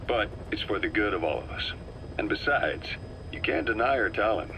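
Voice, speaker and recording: Middle-aged military officer, very low pitch, measured, assured, calculating, game drama, clear background noise. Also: male voice, expressive. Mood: calm, persuasive. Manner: measured, assured, reasoning patiently.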